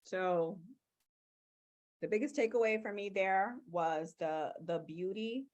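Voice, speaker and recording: A woman's voice: mid-range voice, takes it slowly, darkish tone, muffled recording. Chatty woman presenting a webinar. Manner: chatty and upbeat, with frequent fillers. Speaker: presenter of a training session.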